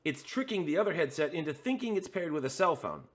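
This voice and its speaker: A man's voice: on the high side, sing-song, quick pace. A man hosting a hands-on tutorial, explaining a setup step by step with frequent "uh" fillers while pointing at the devices in front of him. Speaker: host.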